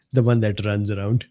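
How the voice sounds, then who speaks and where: A man with a mellow, slightly hoarse voice hosts a live stream from his desk mic. He reads viewer comments aloud in an unhurried, easygoing way. He laughs often and answers viewers by name. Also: on the low side. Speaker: host of a live medical talk stream. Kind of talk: casual livestream chat reading viewer comments.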